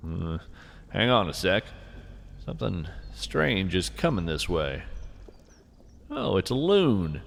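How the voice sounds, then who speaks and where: A man's voice: lowish voice, creaky, a little hiss or hum, exaggerated voice. A man playing a whimsical, earnest naturalist who muses with folksy wonder in a first-person field-journal audio drama. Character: whimsical, earnest male naturalist who hunts strange cryptids. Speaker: podcast host and narrator.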